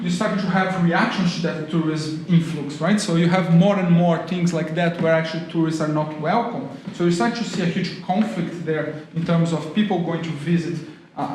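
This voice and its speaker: A man with a Brazilian accent giving a talk at an event, engaging the audience with questions. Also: fairly high pitch. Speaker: guest speaker, tourism lecturer. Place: event hall. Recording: echoey hall with faint noise.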